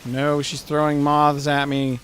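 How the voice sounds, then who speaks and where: A man co-hosting a gaming video with casual, tongue-in-cheek commentary and deadpan explanations. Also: a little high, unhurried. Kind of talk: casual bantering game commentary. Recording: faint room noise, game sounds behind.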